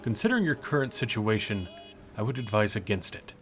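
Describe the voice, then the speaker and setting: A man's voice: on the low side, sing-song, fairly quick. A man playing an aloof, detached Grim Reaper in an audio drama, speaking in terse, matter-of-fact lines. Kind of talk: scripted audio drama acting.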